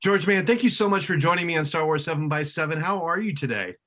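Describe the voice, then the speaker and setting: A man's voice: a little high, expressive, quick pace, very noisy. A middle-aged man speaking as a podcast host, introducing and interviewing a guest in a friendly way.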